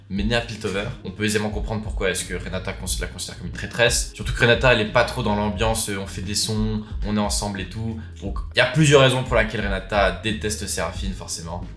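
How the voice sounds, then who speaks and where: A man with a slightly low voice talks very quickly in a roomy, echoey recording. His style is casual game commentary, explanatory and openly speculative, with informal turns of phrase. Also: steady tone, a bit bright, soft background music.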